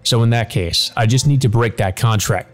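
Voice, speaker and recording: A man's voice: medium pitch, moderate pace, soft background music, lightly acted. A man reading the part of a proud, frustrated mage in a visual novel, grave and brooding. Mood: grim resolve. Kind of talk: visual novel first-person monologue read aloud.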